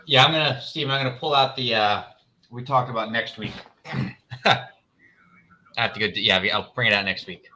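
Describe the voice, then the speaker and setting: A friendly man jokes around with the group between turns of a tabletop game. His voice is bright and forceful and sits at an average pitch. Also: normal speed, low-quality recording. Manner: friendly, joking. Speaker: player. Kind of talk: casual chat at a tabletop game.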